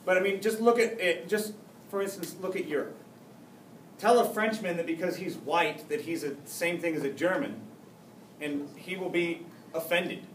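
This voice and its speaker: A male high school history teacher gives a chatty lecture, cracking jokes and restarting his sentences. His voice is a touch high. It was picked up from far across an echoey classroom, with a faint hum behind it. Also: moderate pace. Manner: joking, chatty, with many restarts. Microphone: camera far across the room.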